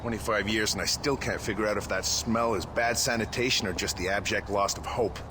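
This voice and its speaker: An actor playing a wisecracking, patriotic American CIA agent with a folksy, sardonic way of talking. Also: male voice, lowish voice, fairly even intonation, a little fast, noisy background, lightly acted. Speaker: spy in a game cutscene.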